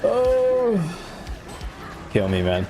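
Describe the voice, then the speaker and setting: A man's voice: very high voice, wildly swooping pitch, very slow, over music. A male streamer giving live gameplay commentary, frustrated and swearing as he thinks aloud. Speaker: game streamer.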